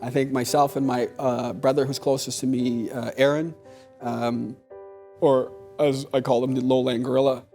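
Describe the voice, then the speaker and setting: A man recalling his rowdy youth in a documentary interview, measured and hesitant with fillers. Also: mid-range voice, gravelly, a little mellow.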